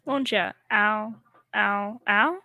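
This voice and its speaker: A woman's voice: mid-range voice, extremely slow, bright, forceful tone, dry recording, exaggerated voice. A young female performer playing a dim-witted, sweet, naive secretary in old radio comedy style. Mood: gushing, naive. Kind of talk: 1940s radio comedy acting.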